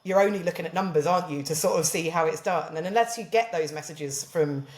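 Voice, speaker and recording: A woman's voice: fairly low pitch, fast talker, reverberant. A woman with a southern English accent, chatting as a podcast guest, laughing often and animatedly retelling conversations. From southern England. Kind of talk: casual chat.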